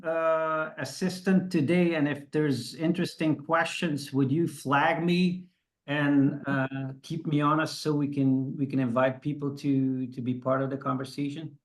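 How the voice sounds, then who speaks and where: A man's voice: a little high, measured pace. Chatty Belgian-raised male host. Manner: chatty, self-deprecating, many restarts. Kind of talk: hosting an online panel discussion.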